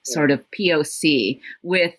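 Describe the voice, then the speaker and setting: Bright, animated woman telling stories. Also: low voice, slow pace. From Greater New York area. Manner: animated, laughing, lots of "like" and "you know". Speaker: podcast guest, academic. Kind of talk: casual storytelling chat.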